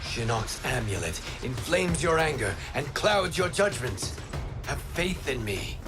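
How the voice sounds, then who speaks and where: A man's voice: medium pitch, sing-song, a little slow. A man playing a noble, earnest hero in a video game cutscene, urging reason in a formal, urgent manner. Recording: music behind. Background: music.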